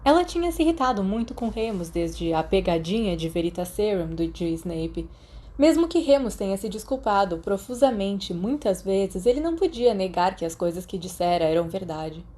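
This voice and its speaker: Mellow Brazilian woman narrating a story. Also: medium pitch, lively intonation, moderate pace. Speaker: audiobook narrator. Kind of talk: scripted fan fiction narration.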